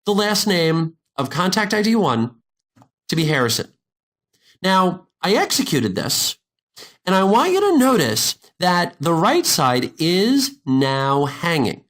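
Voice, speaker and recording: A man narrating a software tutorial step by step, in an informal, explanatory manner. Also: high-pitched. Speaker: instructor.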